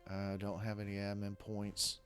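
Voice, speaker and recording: Hoarse, rough-voiced man with very low pitch. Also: monotone, normal speed, a bit bright, soft background music. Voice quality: rough-sounding voice. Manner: rambling, hesitant, many restarts. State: hoarse from coughing. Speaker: strategy game video creator. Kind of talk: casual gameplay commentary.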